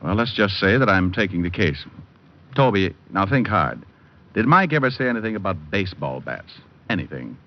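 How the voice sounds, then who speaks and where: An actor playing a cool, wisecracking private detective, narrating with dry wit in hardboiled old-time radio style. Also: male voice, sits low, sing-song, moderate pace. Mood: brisk, wisecracking. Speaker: lead and narrator. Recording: dull old radio recording. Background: music.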